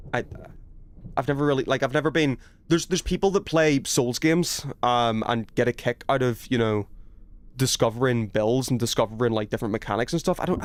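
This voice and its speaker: A man's voice: medium pitch, slight background noise. A man chatting casually as a game streamer, rambling in a self-effacing, sweary way. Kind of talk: casual chat while streaming gameplay.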